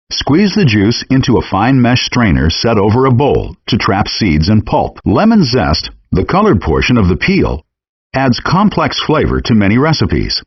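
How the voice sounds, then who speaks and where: A man's voice: fairly low pitch, breathy edge, dry recording. A man reading scripted cooking instructions step by step, as an instructional narrator in a game. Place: dry close voice-over recording. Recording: muffled lo-fi handheld game audio.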